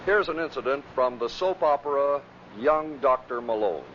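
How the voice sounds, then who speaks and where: A man's voice: medium pitch, lively intonation, moderate pace. A man narrating in a scripted old-time radio style, introducing clips as the host. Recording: dull vintage radio recording, faint noise.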